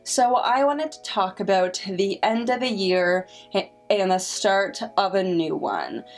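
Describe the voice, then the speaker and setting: A woman's voice: medium pitch, animated, soft background music. A woman in her twenties with a stutter, talking casually and reflectively to camera as a vlogger, with frequent repeated sounds and restarts. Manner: slow, reflective and encouraging.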